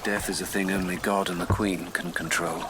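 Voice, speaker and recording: A man plays a solemn, secretive statesman in a period radio drama. The voice is very deep, formal and guarded. There is clear water noise behind it. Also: flat delivery, normal speed, natural voice. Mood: ominous. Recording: noisy water ambience behind.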